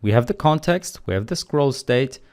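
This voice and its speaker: A man teaching programming in a screencast tutorial, calmly explaining the code step by step in a relaxed, conversational way. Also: medium pitch, moderate pace. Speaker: programming instructor.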